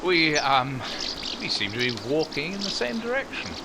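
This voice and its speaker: A man playing a proud, awkward gentleman suitor in 1940s radio romance style, formal and hesitant. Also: fairly high pitch, very animated, normal speed, very noisy.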